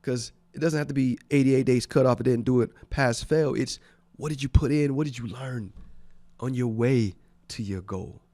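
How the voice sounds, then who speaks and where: A man's voice: medium pitch, normal speed, warm tone, no echo. A congested man hosting a radio show, giving enthusiastic, rambling thank-yous in casual chat. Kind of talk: casual radio shout-outs. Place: radio studio.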